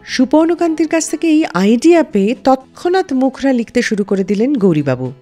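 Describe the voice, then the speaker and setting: A woman's voice: high voice, very animated, brisk, mellow. A woman hosting a music radio show, telling scripted stories in a dramatic storyteller's manner.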